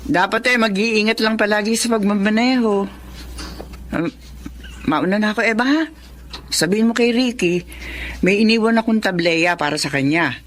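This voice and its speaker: A woman's voice: medium pitch, moderate pace, long pauses, a little hiss or hum, natural voice. An actress playing a chatty, mild, approving older mother in a radio drama. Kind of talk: Tagalog radio drama acting.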